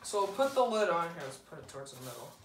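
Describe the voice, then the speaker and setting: A teenage boy hosting a casual unboxing video in a living room, slowly talking through each step as he assembles a toy. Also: on the high side, big pitch swings, very noisy. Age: teens. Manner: slow, halting, describes each step aloud. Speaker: unboxing host. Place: living room, seated at a table. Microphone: camera across the table. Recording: roomy, camera some distance off.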